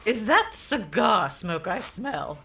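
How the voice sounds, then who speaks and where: A woman's voice: medium pitch, very animated, takes it slowly, creaky, background noise, heavily acted. A woman playing a bossy, nosy old nurse in a radio comedy. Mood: scolding.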